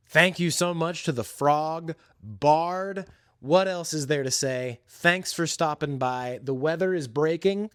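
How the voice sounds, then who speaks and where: A man's voice: a little high, no room sound, studio quality. A man hosting a solo podcast, chatting casually and informally about films and games in slangy, hesitant phrases. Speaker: podcast host.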